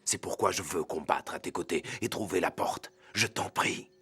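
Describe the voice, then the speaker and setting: A man's voice: low voice, normal speed, pushed and bright, slight background noise. A man voicing a proud, earnest lynx warrior in a cartoon, speaking in a grave, formal and pleading way. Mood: solemn, pleading.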